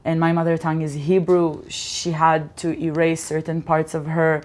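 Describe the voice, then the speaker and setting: Low-voiced woman telling stories. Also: brisk, clear, resonant tone. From Israel. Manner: animated storyteller, acts out quotes. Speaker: guest comedian. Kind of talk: casual interview storytelling.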